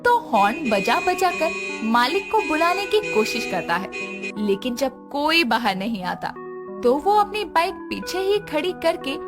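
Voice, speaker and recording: A woman narrates a cartoon story from a script. Her fairly high voice swings up and down in a lively way, with music clearly behind it. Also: a little slow.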